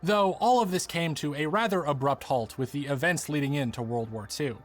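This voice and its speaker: A man's voice: fairly high pitch, moderate pace. A man giving scripted narration as the presenter of a video essay. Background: background music.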